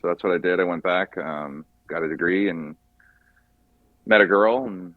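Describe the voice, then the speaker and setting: A man from California, chatting casually and haltingly as an interview guest as he tells his story in an informal, rambling way. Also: sits low, no room sound, phone-quality sound. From California, USA. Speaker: podcast guest, car mechanic by trade. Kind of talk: casual chat.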